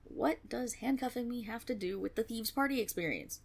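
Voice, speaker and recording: A woman's voice: a little high, animated, normal speed, creaky. A woman playing a flustered young tiefling rogue in a fantasy audio roleplay, stammering and blustering, cocky on the surface but unsure underneath. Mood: confused, dejected. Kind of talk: fantasy roleplay audio drama, one-sided dialogue.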